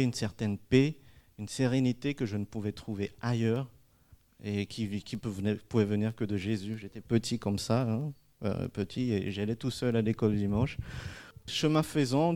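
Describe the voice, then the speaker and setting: A man speaks in a halting, earnest way, pausing on fillers and restarting his sentences. His voice sits a little low and sounds smooth and resonant. He shares a personal testimony and then a spoken prayer. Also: a little mellow. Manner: hesitant, many fillers and restarts. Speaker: church member giving testimony and prayer.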